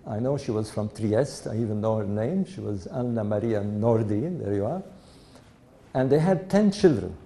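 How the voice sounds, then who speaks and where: An older man from Egypt with a mixed European-Egyptian accent, telling his family story to an audience in an unhurried, anecdotal way and chuckling now and then. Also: lowish voice, expressive, warm tone, a little hiss or hum. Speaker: speaker giving a talk to an audience. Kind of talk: storytelling talk.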